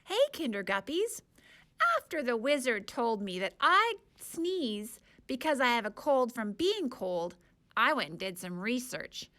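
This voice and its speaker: An earnest, wondering storybook fellow prone to sneezes, played by a woman reading to children. The voice is bright and fairly high, with slow, lively phrasing. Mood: earnest explaining.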